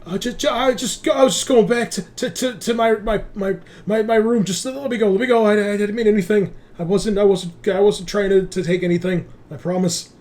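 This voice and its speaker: A man's voice: high voice, steady tone, a little fast, few pauses, a little mellow, faint music behind, pitched above the performer's own voice, lightly acted. A man voicing a confused, panicked older doctor who stammers and repeats himself in a tabletop roleplaying game. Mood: pleading, stammering. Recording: faint background noise.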